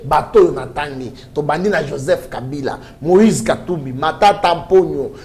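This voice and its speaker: A man's voice: fairly high pitch, moderate pace, darkish tone. A man with a Congolese accent in a heated political debate as a panel guest, speaking emphatically and repeating himself. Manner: emphatic, repetitive. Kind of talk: heated political debate, mixing French and Lingala.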